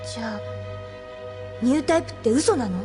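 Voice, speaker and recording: A naive, curious youngster , voiced by a woman, with a very high voice. The childlike, questioning delivery belongs to an audio drama, with faint music behind it. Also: lively intonation, fairly quick, natural voice. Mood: puzzled, questioning. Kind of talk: Japanese audio drama acting.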